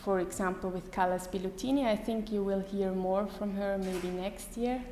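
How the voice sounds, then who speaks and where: A woman in her thirties with a German accent, giving a live lecture to an audience, pausing often with many ums and restarts. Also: medium pitch, fairly even intonation, mellow. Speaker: artist giving a talk. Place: lecture hall. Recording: echoey hall with faint room noise.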